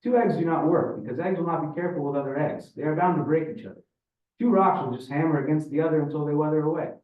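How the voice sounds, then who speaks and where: A man's voice: a little high, pitched above the performer's own voice, natural voice. A man voicing a teasing older Russian father who speaks in folksy maxims, in a story reading. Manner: pronouncing, folksy maxims in non-native phrasing. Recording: echoey room, distant muffled mic.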